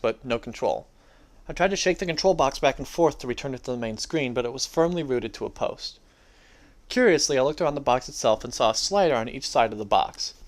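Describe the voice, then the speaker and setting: A young adult man giving a dramatic reading of his own first-person story. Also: mid-range voice.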